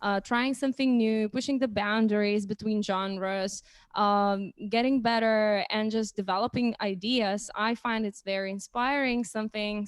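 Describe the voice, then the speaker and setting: A woman's voice: mid-range voice, clear, resonant tone, no room sound. A young woman hosting a casual livestream chat, speaking hesitantly and often restarting phrases. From Lithuania. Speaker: host.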